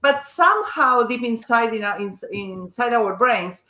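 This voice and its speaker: A woman's voice: on the high side, expressive, a little slow, bright, forceful tone, roomy sound. A woman hosting an interview, politely asking long, winding questions. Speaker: interview host. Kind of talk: interview over video call.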